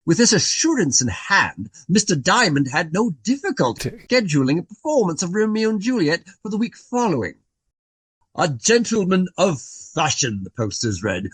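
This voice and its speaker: Male, pompous Regency raconteur for radio drama. Hugely animated delivery, slightly husky, close dry sound. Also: sits high, no room sound, heavily acted. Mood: smug, confiding. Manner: long-winded, florid, genteel formal phrasing. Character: portly, garrulous, self-important Regency gentleman. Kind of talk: radio play dialogue, storytelling.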